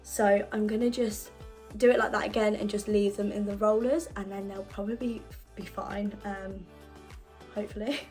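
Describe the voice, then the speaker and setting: Young woman, husky from illness, chatty self-deprecating vlog talk, faint music behind. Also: mid-range voice, fairly even intonation, moderate pace. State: husky from being unwell. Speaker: beauty vlogger. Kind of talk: casual hair tutorial. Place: at home.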